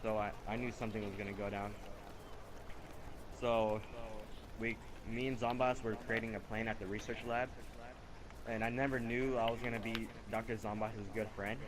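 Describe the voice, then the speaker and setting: A man's voice: lowish voice, flat delivery, moderate pace, long pauses. A young man improvising a casual, rambling story over video game footage, earnest and repetitive as he goes. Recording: noisy home game-capture microphone.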